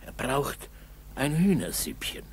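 Old peasant grandfather: compassionate grumbler, very animated delivery, creaky voice, 1960s radio drama. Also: mid-range voice, takes it slowly, slight background noise, heavily acted. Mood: gruff scolding. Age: older. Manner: exclamatory, muttering and scolding. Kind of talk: 1960s German school radio drama acting.

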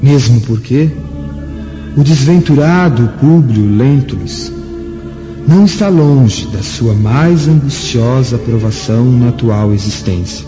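Actor as a slow, reverberant fatherly angel. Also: medium pitch, natural voice. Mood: solemn, fatherly. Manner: solemn, lofty, preaching. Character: benevolent angelic male emissary, grave and fatherly. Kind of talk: dramatic radio play acting. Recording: muffled, long cavernous reverb, faint music.

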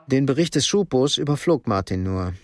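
A man narrating a radio crime drama in a measured, matter-of-fact way. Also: mid-range voice, lively intonation, natural voice. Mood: sober. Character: sober third-person narrator of a crime story.

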